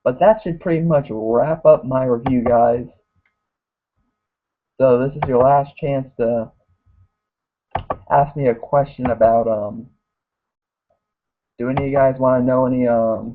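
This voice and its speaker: A man reviewing a wrestling show in a rambling casual way, saying "guys" constantly and getting hoarse. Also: medium pitch. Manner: mocks wrestlers in put-on voices. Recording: tinny phone-like lo-fi audio.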